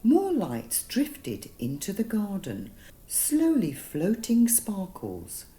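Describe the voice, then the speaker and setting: A woman narrating a gentle bedtime story for children, soothing and deliberate, with dramatic emphasis on single words. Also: mid-range voice, wildly swooping pitch, low-quality recording.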